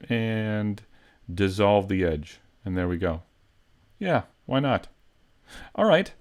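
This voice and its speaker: A man giving a casual live tutorial, thinking aloud in an unhurried, hesitant way as he explains each step. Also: lowish voice. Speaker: 3D modeling instructor, live stream host.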